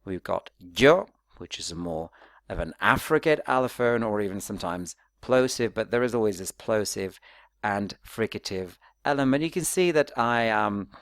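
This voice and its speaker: A man's voice: on the low side, lively intonation, moderate pace, a bit bright, dry recording, clean studio sound. A man presenting an academic talk in a conversational, explanatory way, checking in with little tags and questions. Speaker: academic presenter, phonetics researcher.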